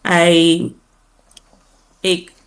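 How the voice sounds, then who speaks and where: A woman with a Dominica accent and a somewhat low voice, chatting as a radio guest. She speaks with lively pride, laughs easily, and fills her sentences with "um" and "like". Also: very slow. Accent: Dominican (Dominica) Caribbean English. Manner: animated and proud, laughing often, with fillers like "um" and "like". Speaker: guest, teacher. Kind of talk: casual radio interview.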